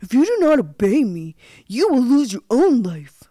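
Old villainous cook for a storybook woman acting him: menacing, forceful volume, pitched up. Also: big pitch swings, normal speed, no room sound, studio quality. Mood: threatening. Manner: loud, menacing. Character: wicked old male cook, threatening scoundrel.

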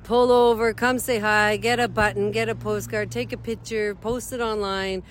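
A woman's voice: medium pitch, fairly even intonation, normal speed, slight background noise. A woman from Northern Ontario, an advocate speaking earnestly and plainly in an outdoor interview, with fillers here and there. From Northern Ontario, Canada. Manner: heartfelt, plain-spoken, with frequent fillers. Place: outdoors beside a street.

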